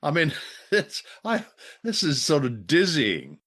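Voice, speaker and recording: A man's voice: on the high side, huge pitch swings, takes it slowly, a bit bright. A man co-hosting a casual review chat, speaking in a wry, wordy way. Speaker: podcast co-host.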